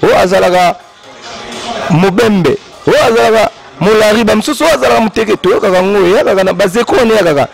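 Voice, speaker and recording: A man from Congo-Brazzaville with a Congolese French accent, a guest artist rambling through an interview and giving long shout-outs. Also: high voice, fairly quick, vocal fry, poor audio.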